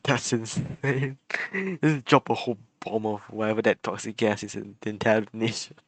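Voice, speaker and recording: A woman's voice: extremely low pitch, normal speed, a little hiss or hum. A woman live streamer giving chatty, casual commentary and reacting aloud to a game.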